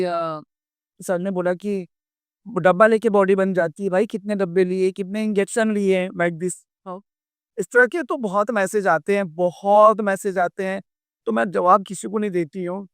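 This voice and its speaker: A woman bodybuilder with a naturally deep, heavy voice, speaking bluntly and defiantly as an interview guest. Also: normal speed. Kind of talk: candid interview talk.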